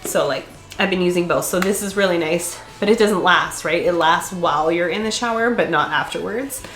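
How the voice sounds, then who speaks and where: A woman vlogger with a congested, stuffed-up voice, chatting casually to her camera and laughing often. Her voice sits on the low side for a woman. The sound bounces around an echoey room. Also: normal speed, fairly bright tone, faint music behind. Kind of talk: casual chat.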